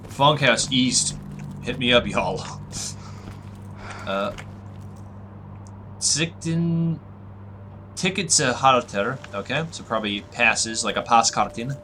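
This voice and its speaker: Man, husky tired voice, relaxed musing game commentary, middle pitch, noisy background hiss. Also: slow, long pauses. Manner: relaxed, musing thinking aloud. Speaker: gaming channel host. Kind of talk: casual let's-play commentary.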